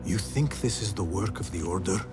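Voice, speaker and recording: A man acting as an earnest, guarded young assassin in a video game, with a measured, resolute delivery. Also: sits low, animated, over music.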